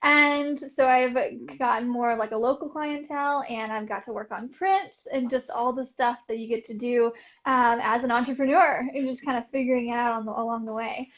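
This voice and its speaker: A woman's voice: on the high side, steady tone, fairly quick, a bit bright. A young woman artist, a guest in a casual interview, talking upbeat with frequent fillers and laughs. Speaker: artist and art business coach, guest. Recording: dull lo-fi audio.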